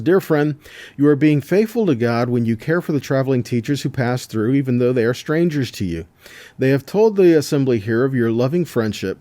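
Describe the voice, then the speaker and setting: A man's voice: mid-range voice, warm tone, no echo. A man giving a scripture teaching in an explanatory way, with rhetorical questions and repeated points. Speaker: religious teacher.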